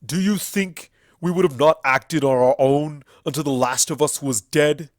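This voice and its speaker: A man's voice: a little high, moderate pace, no room sound, natural voice. A bitter and ancient stallion from a fantasy storybook, performed by a man. He declaims his accusations with heavy scorn, stretching key words for emphasis. The sound is close and dry. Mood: indignant outrage.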